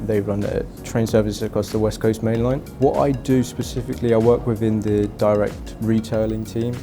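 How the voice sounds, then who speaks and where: A young man from Portugal, a student, giving an earnest interview testimonial. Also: on the low side. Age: young adult. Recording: music bed under voice.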